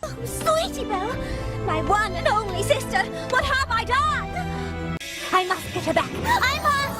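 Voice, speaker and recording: A theatrical young lady pony in a cartoon. The voice is extremely high and swoops widely, declaiming grandly and overwrought, with loud music underneath. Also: big pitch swings, a little slow, exaggerated voice. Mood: anguished, dramatic. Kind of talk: cartoon acting.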